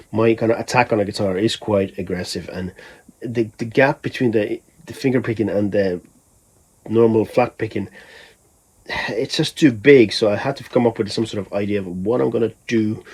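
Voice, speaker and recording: A man's voice: on the low side, darkish tone, slightly rough. A man from Finland, a musician, talking casually in a rambling, think-aloud way for a vlog. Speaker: musician vlogger.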